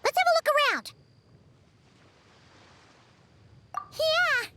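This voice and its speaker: A woman voicing a tiny, childlike fairy companion in a video game, squeaky, cheerful, excitable and quick to take offence. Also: slight background noise, heavily acted. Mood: chatty, nostalgic. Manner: refers to self in third person, exclamatory. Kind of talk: animated video game voice acting.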